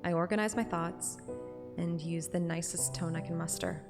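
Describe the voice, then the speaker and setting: A woman's voice: on the low side, steady tone, normal speed. A woman reading a game story aloud as a streamer, moving between first-person audiobook narration and casual asides. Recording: music behind the voice.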